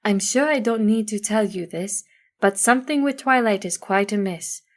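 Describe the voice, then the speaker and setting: A woman voicing a wise, cryptic female zebra healer in measured rhyming couplets. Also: medium pitch, natural voice. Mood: solemn.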